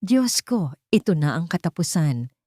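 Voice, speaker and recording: A woman's voice: fairly low pitch, big pitch swings, unhurried, a little mellow, no echo, clean studio sound. A woman voicing a humble, anxious young cleaning maid who speaks politely and apologetically in a story. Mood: anxious, earnest.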